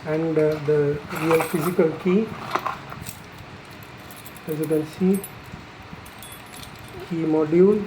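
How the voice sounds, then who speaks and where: A person giving an unscripted, explanatory product demonstration in a halting, matter-of-fact way, pausing mid-phrase. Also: mid-range voice, steady tone, noisy background. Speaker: installer presenting a product tutorial.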